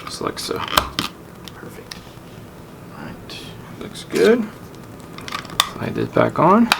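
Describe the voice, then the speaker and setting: A man's voice: fairly high pitch, sing-song, slow pace, in a whisper. A man hosting a casual unboxing review, talking informally and thinking aloud as he handles things. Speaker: gadget review channel host. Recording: distant mic, clearly noisy, handling crinkle.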